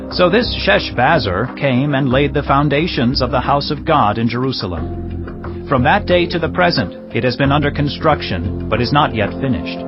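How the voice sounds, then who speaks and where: A man's voice: medium pitch, natural voice. An actor playing a dutiful provincial governor who reports to his king in a formal, earnest, deferential way. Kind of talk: dramatized scripture reading. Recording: dull lo-fi audio under clear music. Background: music.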